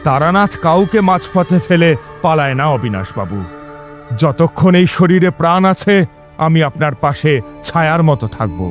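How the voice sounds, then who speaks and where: A man's voice: a little high, lively intonation, normal speed, faint music behind, close to an everyday voice. A man playing a stern, fearless tantric priest in a horror audio drama, solemn and commanding. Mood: solemn resolve.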